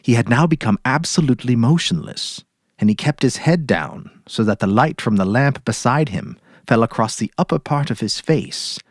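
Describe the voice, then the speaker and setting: A man narrating a literary short story dramatically, in a measured, deliberate way. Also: medium pitch, no echo, clean studio sound.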